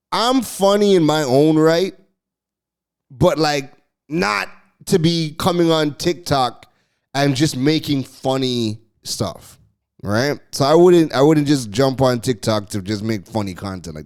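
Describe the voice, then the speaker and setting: A middle-aged man hosting a podcast, telling a casual story in an animated way, laughing often and restarting his phrases. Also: mid-range voice. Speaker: podcast host.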